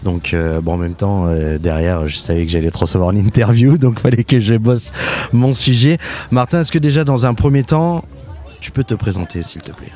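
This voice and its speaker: A man's voice: fairly low pitch, moderate pace, dark tone. A man hosting a podcast, interviewing his guest in a casual, conversational way.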